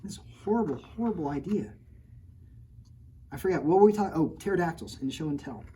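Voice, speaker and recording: A man reads his own children's book aloud at storytime and keeps stopping for jokey asides, pretending to be outraged by his own plot. His voice is mellow and relaxed. A faint noise sits under the recording. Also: on the high side, fairly quick. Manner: playful, jokey, mock-outraged, talking straight to children. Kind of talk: storytime read-aloud with playful asides to kids.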